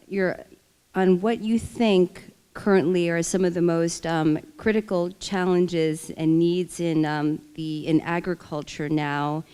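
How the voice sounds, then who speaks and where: A woman moderates a panel in a polite, inquiring way. Her voice is mellow and smooth. The recording carries a long, echoing hall reverb. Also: lowish voice.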